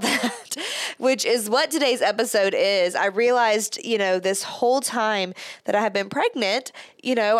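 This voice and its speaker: A woman hosting a solo podcast, chatting casually with lots of "like" and little laughs. She sounds a bit congested, yet her voice stays smooth and resonant at a middle pitch, and the recording is close and dry. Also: no room sound. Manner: chatty, says "like" often, laughs between words. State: slightly congested from a cold. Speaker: podcast host.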